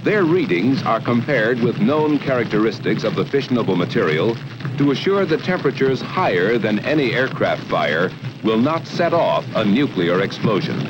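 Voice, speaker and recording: An American man narrating a 1960 educational film in a formal, measured, authoritative way, on a vintage film soundtrack. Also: mid-range voice, big pitch swings, background noise. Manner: formal, measured, authoritative delivery with emphatic phrasing.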